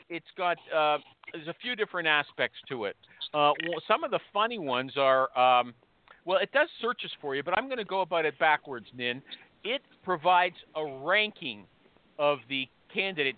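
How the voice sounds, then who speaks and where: High-voiced pushy man on a tinny line. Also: very animated, moderate pace, slight background noise. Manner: pushy, teasing, interrupts often. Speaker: show host. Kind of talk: casual podcast interview. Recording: tinny phone-line sound.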